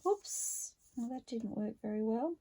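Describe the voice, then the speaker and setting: Slow, croaky-voiced craft vlogger woman. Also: on the high side, lively intonation, dark tone. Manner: chatty and unhurried, talking through each step. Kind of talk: relaxed craft narration while working.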